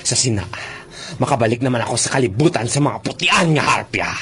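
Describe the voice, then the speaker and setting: A man's voice: medium pitch, animated, a little fast, a bit bright. A man acting in a radio drama as a secretive, scheming harpy in human disguise, speaking his thoughts. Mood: brooding. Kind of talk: radio drama inner monologue.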